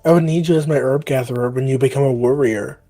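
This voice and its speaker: A man's voice: medium pitch, steady tone, fast talker, mellow, soft background music, lightly acted. A man voicing a friendly young male healer's apprentice cat, proud and teasing, in a roleplay. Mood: joking, warm.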